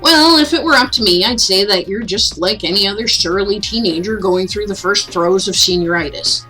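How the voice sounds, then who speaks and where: A woman's voice: mid-range voice, normal speed, bright, forceful tone, soft background music, close to an everyday voice, poor audio. A woman voicing a wisecracking, slacker male mentor from a story game in a teasing, mock-grand way. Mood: wry, breezy. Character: breezy, wisecracking male school counselor, playful slacker mentor.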